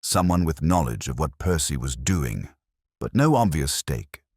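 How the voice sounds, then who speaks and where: A man voicing a shrewd middle-aged male police inspector who speaks quietly and deliberately, with a husky voice. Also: extremely low pitch, sing-song, no room sound, studio quality, full character voice. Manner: quiet, measured, probing. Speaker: detective inspector.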